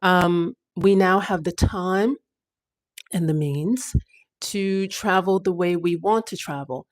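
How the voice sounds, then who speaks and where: A woman in her fifties hosts a casual livestream, speaking in a slightly low voice. Her talk is chatty and rambling, full of fillers and restarts. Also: takes it slowly. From United States. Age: 50s. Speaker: livestream host.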